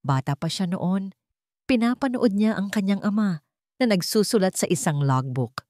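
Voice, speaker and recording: A woman's voice: medium pitch, lively intonation, normal speed, darkish tone, no room sound, studio quality. A woman with a Filipino accent, narrating a scripted love story in a dramatic storytelling style.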